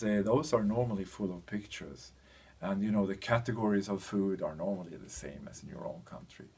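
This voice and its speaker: Low-voiced, husky man explaining haltingly. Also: darkish tone. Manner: hesitant, halting, searching for words. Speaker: presenter of a language training package. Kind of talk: explanatory presentation, loosely scripted.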